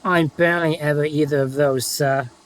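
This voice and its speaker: A man's voice: fairly high pitch, steady tone, moderate pace, close to an everyday voice. A man playing a sheepish, grudgingly kind diesel shunting engine in a fan-made audio story. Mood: self-deprecating.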